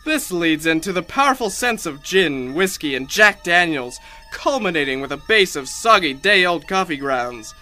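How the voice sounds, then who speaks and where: A man's voice: on the high side, big pitch swings, normal speed, hardly any pauses, pushed and bright. A young man playing a mock-earnest, grandiose announcer in a parody 1930s radio advertisement. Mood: grandly persuasive. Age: young adult. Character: earnest smooth-talking male 1930s radio sponsor announcer. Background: music.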